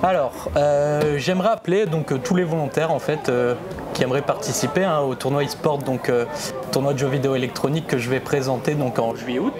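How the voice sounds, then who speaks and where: A man making a casual, halting and hesitant spoken appeal in an interview. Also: mid-range voice. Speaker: e-sport tournament organiser, interviewee. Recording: busy crowd noise around.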